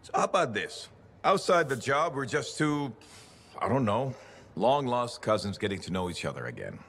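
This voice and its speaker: A man's voice: medium pitch, big pitch swings, normal speed, fairly bright tone, slight background noise. A man acting as a guarded, blunt, wry veteran in his mid-forties in a video game. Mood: defensive. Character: gruff, guarded forty-five-year-old male veteran, wry.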